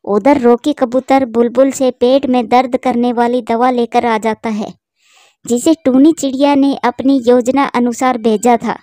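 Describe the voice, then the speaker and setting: A woman narrating a children's cartoon story in a steady, plain storytelling manner. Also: fairly high pitch, unhurried, no room sound, studio quality.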